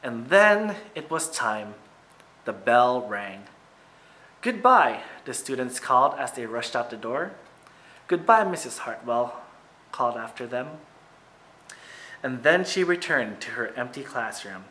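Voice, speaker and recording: Bright-voiced man reading a children's story aloud. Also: medium pitch, lively intonation, unhurried, long pauses. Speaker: teacher reading aloud to pupils. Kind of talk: picture book read-aloud with asides.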